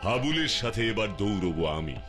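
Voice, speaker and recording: Cartoon strongman hero, lowish voice, measured, principled, advising, music behind. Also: sing-song. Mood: calm, resolute. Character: steady, principled adult strongman superhero. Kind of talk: cartoon dubbing.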